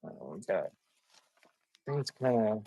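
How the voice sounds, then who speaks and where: A man chatting casually in a folksy, halting way as he hosts a live sale and shows items. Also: on the low side, sing-song, warm tone, husky, dry recording. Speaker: live auction seller hosting a stream. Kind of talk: casual rambling chat while showing items for sale.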